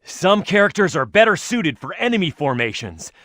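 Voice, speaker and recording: A man's voice: high voice, big pitch swings, moderate pace, bright, forceful tone, no room sound, clean studio sound, exaggerated voice. A man voicing a tough, hot-headed echidna brawler in a video game, proud and blunt in manner. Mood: proud, instructive.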